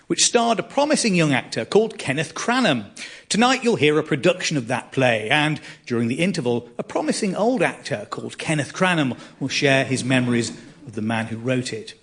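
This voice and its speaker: A man's voice: on the high side, animated, moderate pace, slightly rough. A man presenting a live radio drama evening to a theatre audience, reading a scripted introduction in a wry, arch manner. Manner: wry, arch delivery with dry jokes.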